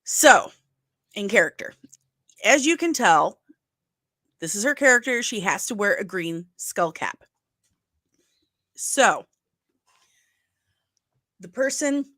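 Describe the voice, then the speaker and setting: A woman's voice: mid-range voice, a bit bright, no echo, clean studio sound. A middle-aged woman with a nasal voice, hosting a casual pop culture news livestream, chatty and emphatic. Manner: chatty, emphatic, with asides and laughs.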